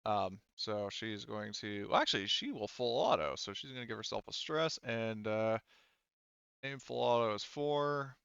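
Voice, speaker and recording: A man's voice: lowish voice, expressive, moderate pace, creaky, a bit bright, smooth, dull audio. A man acting as game master in a tabletop game, casually narrating and refereeing as he thinks aloud in a hesitant way.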